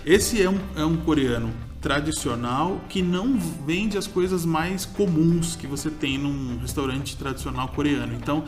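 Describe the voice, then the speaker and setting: A man's voice: fairly high pitch, moderate pace, hardly any pauses, faint music behind. A man, co-hosting a casual food chat and often groping for names. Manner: chatty, gropes for names, laughs easily. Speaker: podcast co-host, food reviewer.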